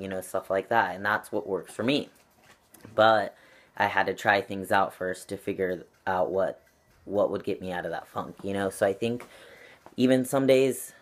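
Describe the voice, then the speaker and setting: A young man talking earnestly and reflectively to camera at home, with frequent ums and likes. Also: sits low. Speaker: channel vlogger. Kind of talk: casual talk to camera. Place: at home with his cat.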